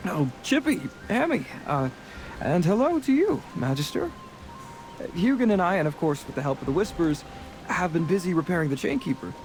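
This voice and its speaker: A charming rogue of the seas in a fantasy game. His voice sits on the higher side for a man, and he chats in a breezy, self-assured, conversational way, with music underneath. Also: very animated, normal speed, low-quality recording. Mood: breezy, friendly. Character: roguish, charming, chatty adult male sea adventurer.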